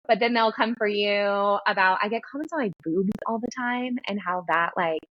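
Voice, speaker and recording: A woman hosting a casual interview, with a girly voice and a slight lisp, animated and laughing as she talks. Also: medium pitch, normal speed, dry recording, highs cut off. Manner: animated, laughs while talking. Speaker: podcast host and business coach.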